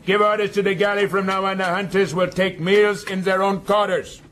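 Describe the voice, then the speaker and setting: An actor playing a domineering, cynical, shrewd sea captain with a taunting manner in a radio drama. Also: male voice, sits high, level tone, moderate pace, fairly bright tone. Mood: mocking. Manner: taunting, sardonic.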